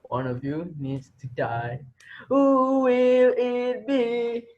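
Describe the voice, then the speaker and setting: A man playing an unhinged, menacing teacher in amateur reader's theater, taunting his students in a theatrical way with drawn-out words. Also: very high voice, animated, muffled recording, full character voice. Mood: gleeful menace.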